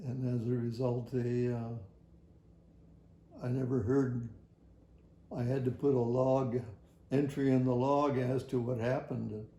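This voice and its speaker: An older man tells a personal story from his working life in a halting, rambling, folksy way. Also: medium pitch, little pitch movement, mellow, reverberant. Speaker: retired air traffic facility worker. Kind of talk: personal oral history storytelling.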